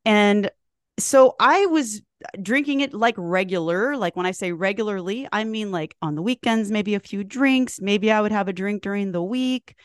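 A woman's voice: mid-range voice, normal speed, smooth, no echo, studio quality. A woman hosting a podcast interview, talking in a chatty, rambling, friendly way. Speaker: podcast host. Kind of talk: casual interview chat.

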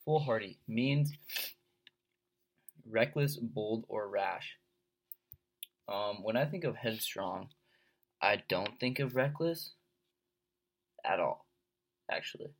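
Young guy, blocked nose from a cold, low gravelly voice, rambling podcast host. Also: lively intonation, takes it slowly, pauses often. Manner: rambling, filler-heavy, laid-back. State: stuffed-up head cold, sore throat. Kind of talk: casual solo podcast chat.

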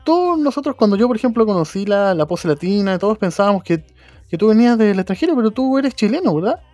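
A male interviewer with a mellow, warm voice, asking questions in a chatty, friendly way with a few hesitant restarts. The sound is dry and close, with faint music in the background. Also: high-pitched, sing-song, fast talker, no room sound. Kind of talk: casual interview.

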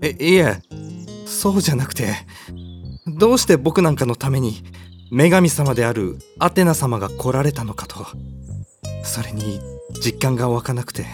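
A reluctant young hero played by a man in a comedy audio drama, timid and whimpering. His stammering voice jumps widely in pitch, with music underneath. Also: animated, moderate pace, pauses often, darkish tone, natural voice. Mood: meek, bewildered.

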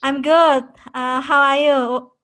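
A woman hosting a casual interview, asking questions in a hesitant, halting way. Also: high voice, fairly even intonation, fairly bright tone. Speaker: interview host.

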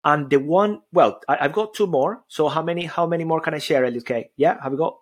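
Energetic Venezuelan-accented man giving speaking tips. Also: on the high side, fairly quick, slightly rough. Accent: Venezuelan Spanish. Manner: energetic, emphatic, stacks repeated phrases. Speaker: podcast co-host. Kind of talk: motivational speaking tips on a live audio show.

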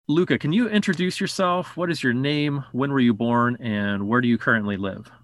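A man's voice: medium pitch, normal speed, a bit bright. A man hosting a podcast, reading a polished introduction and then asking interview questions in an upbeat, articulate way. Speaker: podcast host.

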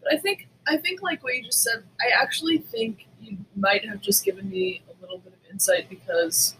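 A woman podcast co-host chatting casually, telling stories in a candid, animated, sweary way. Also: fairly high pitch, fairly quick, a little hiss or hum, low-quality recording. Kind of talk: casual chat.

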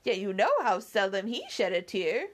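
A woman's voice: medium pitch, lively intonation, normal speed, close to an everyday voice. A woman voicing a fussy, talkative old female housekeeper who chatters on in a doting, well-meaning way. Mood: fussing.

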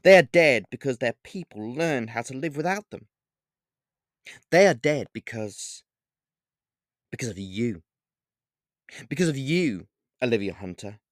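A man's voice: mid-range voice, animated, a little slow, pauses often, no echo, clean studio sound, lightly acted. A man voicing a smug, menacing male vampire king who talks grandly and savors each word, in a storybook reading.

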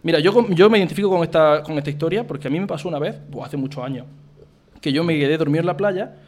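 A man's voice: a little high, very fast, darkish tone. A man from Spain co-hosting a podcast, reading listener messages aloud and teasing his co-host with jokes and emphatic repetition.

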